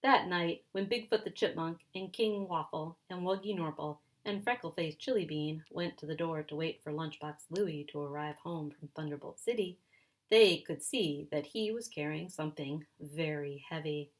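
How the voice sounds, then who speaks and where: A woman reading a children's story aloud in an animated, expressive and emphatic way. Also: low voice, moderate pace, low-quality recording. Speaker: children's story reader, speech and language pathologist.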